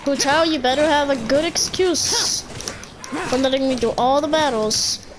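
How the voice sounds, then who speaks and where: A woman giving casual, halting gameplay commentary, sometimes repeating her phrases as she goes. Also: sits high. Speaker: game streamer. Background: game music.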